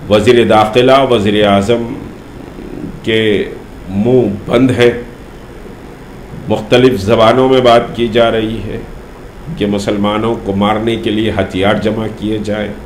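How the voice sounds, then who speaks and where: A man gives an unscripted political statement to the press, speaking deliberately and haltingly with frequent pauses. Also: on the low side, little pitch movement. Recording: roomy echo, faint background noise.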